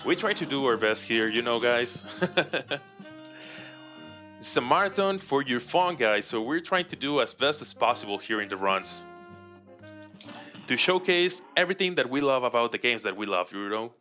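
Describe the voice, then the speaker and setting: A man's voice: mid-range voice, normal speed. Mexican man calling a speedrun. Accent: Mexican Spanish. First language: Spanish. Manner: relaxed, chatty, laughs often, reads chat aloud. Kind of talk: live speedrun commentary. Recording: dull lo-fi stream audio with game music. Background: game music.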